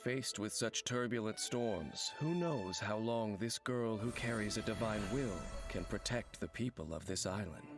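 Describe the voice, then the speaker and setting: Man narrating a trailer over loud music. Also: fairly low pitch, unhurried, few pauses.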